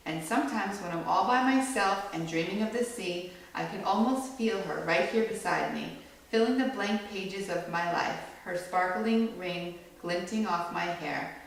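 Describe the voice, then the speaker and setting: A woman reading a picture book aloud to children as a storyteller, with animated delivery that acts out the quoted lines. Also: fairly low pitch, measured pace, gravelly. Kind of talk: expressive read-aloud storytelling. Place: echoey indoor room with children listening. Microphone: far from the reader. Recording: distant mic, very echoey room, faint noise.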